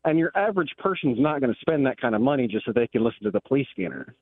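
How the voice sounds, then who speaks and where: A man's voice: medium pitch, sing-song, very fast, no echo. An American man calling in to a radio show and explaining something casually over the telephone. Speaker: radio phone-in caller. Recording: tinny, band-limited phone line.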